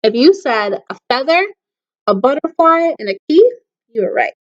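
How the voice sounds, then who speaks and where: High-pitched woman, very animated, teacher reading aloud to kids, question-filled delivery, slightly dull lo-fi recording. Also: moderate pace. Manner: addresses children with frequent questions. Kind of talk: read-aloud of children's poems with explanations.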